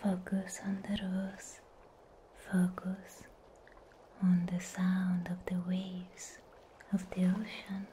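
Woman as serene anime sea guardian for ASMR roleplay: breathy whisper, very slow, mellow, soothing. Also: lowish voice, monotone, slight background noise.

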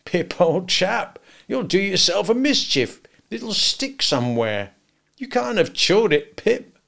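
Guy doing a simple gentle country bloke from a storybook, highish voice, slow and earnest. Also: very animated, vocal fry, full character voice. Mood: aghast, remonstrating. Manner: earnest remonstrating, rustic ungrammatical speech. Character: simple, kindly, slow-witted grown man, earnest and gentle.

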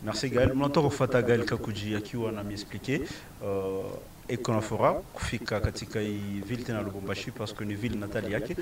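A young Congolese-accented man hosting a sports interview, halting and repetitive in his phrasing, on a handheld mic outdoors. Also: fairly low pitch, fairly even intonation, far from the mic. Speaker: sports show host. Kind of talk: broadcast interview. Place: open-air football pitch. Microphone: handheld microphone.

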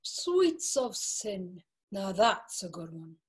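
A man's voice: high voice, big pitch swings, slow pace, husky, pitched above the performer's own voice, heavily acted. A man voicing a grubby old male bookshop owner in a dramatic reading over a video call. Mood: wheedling.

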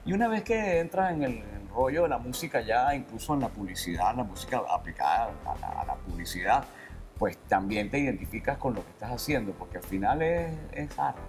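A man's voice: medium pitch, a little fast. Man, talk-show guest, rambling easy chat, faint music underneath. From Venezuela. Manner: relaxed, rambling, joking.

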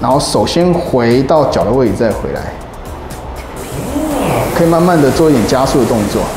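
A man, giving a brisk, step-by-step demonstration of a rowing machine in a small office gym. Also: mid-range voice, very animated. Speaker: fitness equipment instructor. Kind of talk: instructional demonstration. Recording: roomy room with background music.

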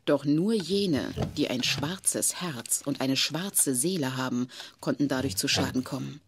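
An actress playing a grave, knowing woman who explains witch lore in a measured, ominous way in an audio drama. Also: very deep voice. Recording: noisy cassette radio play recording.

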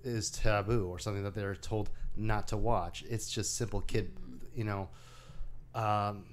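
A man co-hosting a casual movie discussion, recapping a film in a halting, wry way. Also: lowish voice, fairly even intonation, slight background noise. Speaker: co-host of a movie discussion show.

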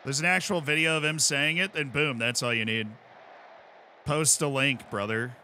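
Animated, bright-voiced American man. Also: medium pitch, moderate pace, a little hiss or hum. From United States. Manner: animated, rambling explanations with many "right?". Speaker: streamer. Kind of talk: casual chat while playing.

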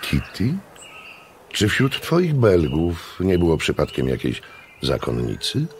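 An actor playing a curious, courteous gentleman in a radio drama, speaking in a measured, polite way. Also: low voice, wildly swooping pitch, a little hiss or hum, heavily acted. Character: curious, courteous gentleman narrating his visit to his sister. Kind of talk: radio drama acting and narration.

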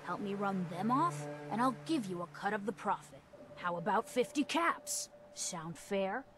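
An actress plays a young wasteland scavenger woman who hires mercenaries. She is brisk and matter-of-fact, with a streetwise edge and a medium-pitched voice. It is video game dialogue. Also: expressive, over music, lightly acted. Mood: businesslike.

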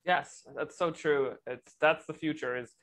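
A woman's voice: deep voice, a little slow, fairly bright tone. A woman hosting a podcast interview, agreeing enthusiastically and asking her guest questions. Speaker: podcast host.

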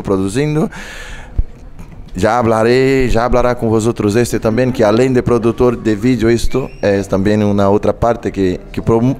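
A man in his thirties, a native Portuguese speaker with a Brazilian accent, talks casually into a handheld mic on a restaurant talk-show set. His voice sits a little low, and his Spanish comes out hesitant, with Portuguese words slipping in. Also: darkish tone. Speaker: guest, show producer. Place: restaurant set with studio audience.

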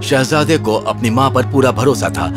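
A man narrating a scripted fairy tale for children. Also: mid-range voice. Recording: music under the voice.

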